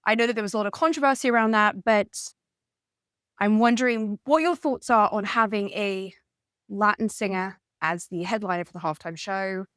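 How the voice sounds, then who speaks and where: A woman's voice: mid-range voice, normal speed, a bit bright, clear, resonant tone, no room sound, clean studio sound. A young adult woman hosting a podcast interview, friendly and chatty with many fillers. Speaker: podcast host. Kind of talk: casual interview chat.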